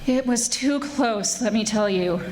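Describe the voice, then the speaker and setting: A woman playing a witty, tough private detective in a 1940s-style radio drama, with brisk wisecracking narration. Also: a little high, a little mellow, a little hiss or hum, close to an everyday voice, poor audio. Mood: explanatory.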